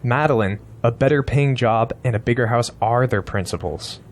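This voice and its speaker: A man acting as a male political campaign strategist in an audio drama, with a mid-pitched voice. He is blunt and insistent, lecturing his client as he presses his case. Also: moderate pace, natural voice.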